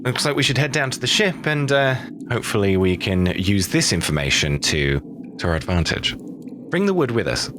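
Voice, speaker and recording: Eager man with a lively pitch. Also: background noise. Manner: eager and a bit scattered, quick stumbling restarts. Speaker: player. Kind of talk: casual roleplay banter.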